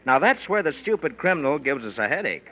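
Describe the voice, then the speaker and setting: An actor playing a breezy, self-assured homicide detective in an old-time radio drama, chatty, slangy and emphatic. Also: male voice, fairly high pitch, sing-song, brisk. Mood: explaining. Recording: muffled, faintly noisy old radio recording.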